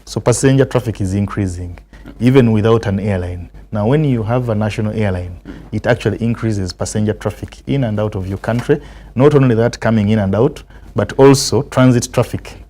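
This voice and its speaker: A man with a Ugandan accent giving formal, measured explanations as an official being interviewed. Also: fairly low pitch, warm tone. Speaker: aviation authority spokesman, interview guest.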